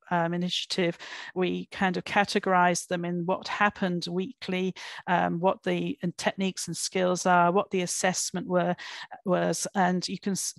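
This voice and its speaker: A woman's voice: on the low side, no room sound. A woman giving an online academic keynote over slides, thoughtful, with frequent fillers and restarts. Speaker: keynote speaker.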